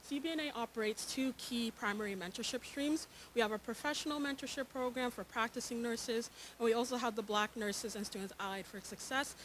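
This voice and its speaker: A woman with a soft voice, a nursing lecturer presenting at a panel, earnest and dotted with ums and uhs. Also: mid-range voice, slight background noise, rough recording. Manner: earnest, with frequent um and uh fillers.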